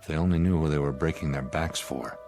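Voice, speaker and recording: An actor playing a blunt, sardonic spaceship commander in a radio drama, with a commanding, scornful, plain-spoken manner. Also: male voice, rumbling low, fairly even intonation, quick pace, a little mellow, soft background music. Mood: scornful, stern.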